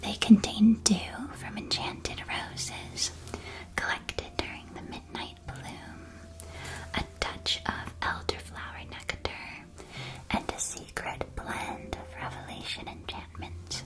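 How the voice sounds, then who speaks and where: A tiny magical sweet shop where a kindly shopkeeper played by a woman whispers gentle, unhurried ASMR sales patter to a young witch customer. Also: lightly acted. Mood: gentle, helpful. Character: kindly, chatty female candy shopkeeper in a witch world. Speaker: ASMR roleplay performer.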